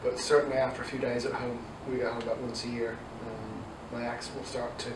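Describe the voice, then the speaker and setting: A man's voice: medium pitch, flat delivery, a little fast. Irish guy in his 40s w some english in the accent, chatting in interview, echoey room, far from mic, noisy. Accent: Irish mixed with English. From south Dublin, Ireland. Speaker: youth ministry director. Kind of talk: conversational interview answers.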